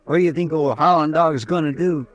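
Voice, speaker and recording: An actor playing a loyal, deferential frontier deputy in a 1950s radio drama, folksy and eager. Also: male voice, fairly high pitch, fairly quick, lo-fi sound, lightly acted. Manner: folksy twang, eager, lots of "well". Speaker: marshal's deputy.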